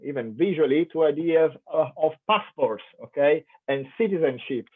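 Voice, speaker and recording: A man's voice: on the high side, animated, normal speed, breathy edge. A man from Italy with an Italian accent, giving a keynote lecture with lots of fillers and restarts. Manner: thoughtful, many fillers and restarts. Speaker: keynote speaker. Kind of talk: academic lecture. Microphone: online conference call. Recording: tinny phone-like audio.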